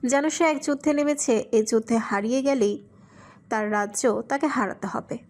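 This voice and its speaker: A woman's voice: a little high, normal speed, a little mellow. A woman reading scripted narration for a romantic audio story.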